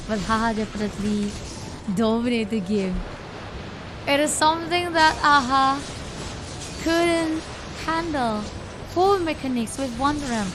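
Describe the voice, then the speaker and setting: A woman with an Indonesian accent commentates a game live, chatting playfully and informally with viewers and often repeating her phrases. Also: high voice. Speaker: esports livestream caster. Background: music.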